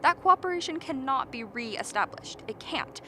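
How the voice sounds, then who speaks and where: A woman's voice: high voice, animated, moderate pace, pushed and bright, a little hiss or hum. A woman playing an earnest, impatient biologist in a radio drama, lecturing and argumentative. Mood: insistent, lecturing. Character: earnest, impatient expedition biologist defending a frozen creature. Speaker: actor in a radio play.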